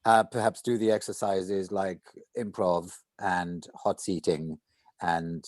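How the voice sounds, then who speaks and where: A man's voice: sits low, fairly even intonation, slow, dry recording, clean studio sound. A man in a casual planning discussion among actors, thinking aloud with repeated, hesitant phrases. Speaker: voice actor at a table read.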